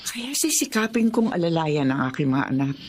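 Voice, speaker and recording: A woman's voice: low-pitched, sing-song, moderate pace, slight background noise. An actress playing an aging, long-suffering mother in a radio drama, emotional in her delivery. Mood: reflective. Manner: emotional, tearful at times.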